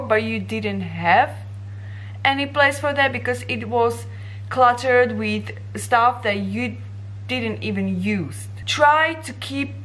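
A young woman with a Slovak accent vlogs straight to the camera from a bright indoor room. Her voice sits a little high, and she talks slowly and deliberately. She moves her hands as she explains each point. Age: young adult. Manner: unhurried, gestures with her hands while explaining. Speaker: vlogger. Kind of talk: talking-head vlog.

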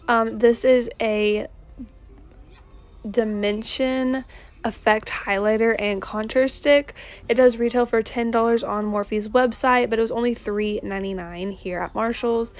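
Chatty young woman vlogger, higher voice. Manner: chatty, frequent ums and likes. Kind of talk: casual shopping haul voice-over.

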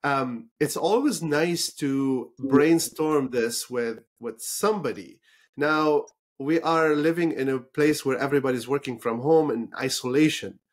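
A man's voice: medium pitch, unhurried. A man from Lebanon whose first language is not English, hosting a podcast interview in a thoughtful way with fillers and restarts. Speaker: podcast host. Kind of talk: conversational interview.

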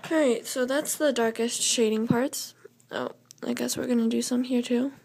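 A young woman with a slightly hoarse, fairly high voice walks through a drawing lesson step by step. Her delivery is casual and loose, full of fillers and quick self-corrections. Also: fairly even intonation, darkish tone. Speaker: drawing tutorial host. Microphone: camera over the drawing paper, speaker off frame.

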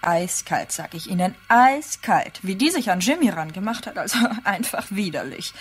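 An actress playing a vain, catty barmaid who gossips scornfully in a German crime radio play. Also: female voice, mid-range voice, lively intonation, normal speed, breathy edge. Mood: scornful, gossipy.

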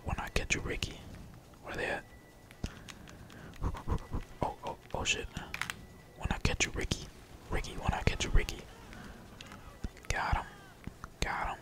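A man whispering casual, slangy and sweary ASMR gaming commentary in a breathy, playful mutter, as a streamer talking to viewers. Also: unhurried, long pauses. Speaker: gaming streamer, channel host.

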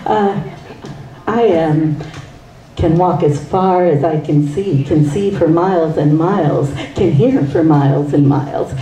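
A woman's voice: deep voice, slow, mellow, a little hiss or hum, pitched below the performer's own voice. A woman doing a giggly far-seeing man. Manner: giggly, fumbling. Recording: roomy distant mic, faint ambience.

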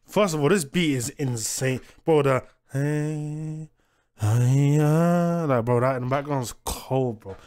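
A man's voice: a little high, takes it slowly, darkish tone, clean tone. Young man reacting casually in street slang. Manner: slangy, street-style talk. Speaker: reaction video host. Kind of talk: casual music reaction commentary. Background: hip hop music playing.

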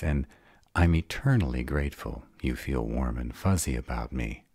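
A man's voice: rumbling low, mellow, husky, no echo, clean studio sound, exaggerated voice. A man playing a sarcastic bad-boy vampire in an audio roleplay drama, drawling, guarded but devoted. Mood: earnest, worried.

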